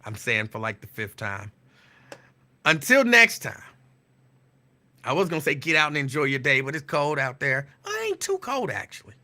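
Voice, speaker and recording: Bright-voiced man chatting casually. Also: a little high. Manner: relaxed, rambling, drawn-out repeats. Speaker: show host. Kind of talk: casual live-stream chat.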